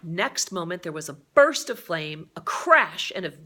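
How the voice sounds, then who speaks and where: Female storyteller for read-aloud fiction, bright forceful tone on a lowish pitch, expressive and deliberate. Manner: expressive, deliberate delivery with dramatic emphasis. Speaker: storyteller reading a novel aloud.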